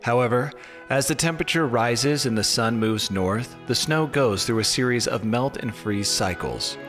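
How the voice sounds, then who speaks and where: A man reading scripted, mock-documentary narration in a deadpan, tongue-in-cheek formal way. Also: mid-range voice, moderate pace. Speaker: narrator of a skiing explainer video. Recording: music bed under voice-over. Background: music.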